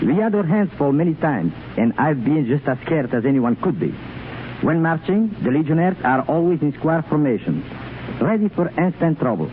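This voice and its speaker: A man's voice: fairly high pitch, big pitch swings, moderate pace. A man speaking as an interview guest on 1930s radio, earnest, declarative and slightly stilted. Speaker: former French Foreign Legionnaire, radio guest. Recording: scratchy, tinny 1937 radio broadcast.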